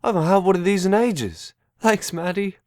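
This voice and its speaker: An amateur actor playing a mentally slow, gentle young man in an audio drama, earnest and childlike. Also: high-pitched, no room sound, clean studio sound, lightly acted. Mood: eager, grateful.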